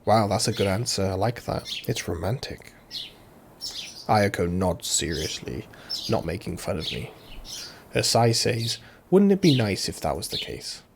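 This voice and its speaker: A man reading translated lines of a visual novel aloud over loud game music, mostly level but now and then breaking into big laughs. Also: lowish voice, measured pace, vocal fry, a little hiss or hum. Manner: reads every character himself, mostly flat, bursts into loud laughter.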